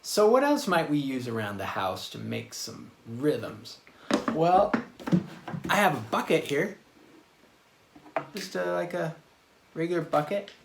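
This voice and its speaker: A man giving a casual, hands-on drum lesson for children, talking in a halting, exploratory way. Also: a little high, sing-song, gravelly. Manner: halting, exploratory, sounding out rhythms with his mouth. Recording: roomy echo, loud clattering percussion noise. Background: banging on household objects.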